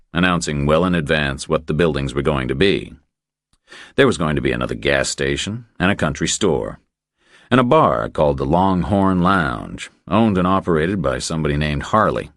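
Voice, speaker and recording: A man reading a crime thriller as an audiobook narrator, in measured, deliberate scripted narration. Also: very deep voice, no room sound, clean studio sound.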